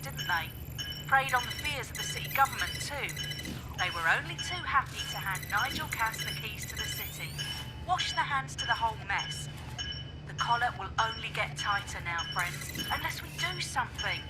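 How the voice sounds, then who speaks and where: A woman playing a defiant, eloquent underground resistance broadcaster in a video game, giving a measured, wry, rallying monologue. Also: on the high side, expressive, over loud music, lightly acted.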